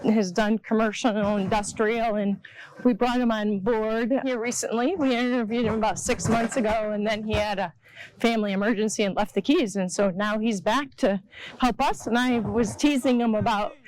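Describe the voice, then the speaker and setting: A woman's voice: on the high side, fairly even intonation, moderate pace, darkish tone, poor audio. A woman in her fifties chatting casually as she walks around a marina, filming her own vlog. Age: 50s. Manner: chatty, laughs easily. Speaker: vlogger touring a boat yard. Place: outdoors at a marina.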